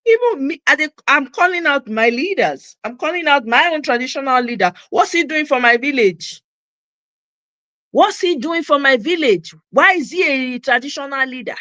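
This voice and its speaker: A woman's voice: sits high, lively intonation, normal speed, sharp tone, no room sound. A Nigerian woman hosting a commentary channel, speaking in a passionate opinion monologue and repeating her points for emphasis, with Pidgin phrases in her accent.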